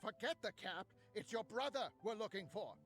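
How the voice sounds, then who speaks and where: A man's voice: high-pitched, expressive, moderate pace, over music. A man voicing an irritable, defensive inventor in a video game, curt and grudging. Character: irritable, defensive male inventor, grudgingly cooperative villain henchman.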